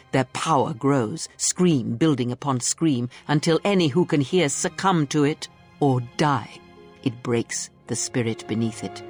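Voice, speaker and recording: Old female Sith master, imperious, bitter, theatrical, deliberate preaching, video game monologue, music behind. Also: a bass voice, a little mellow.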